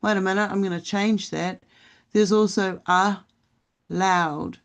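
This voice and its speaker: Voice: medium pitch, steady tone, a little slow, gravelly. Rambling reflective podcast co-host. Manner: rambling, reflective, many fillers. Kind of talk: casual spiritual podcast chat.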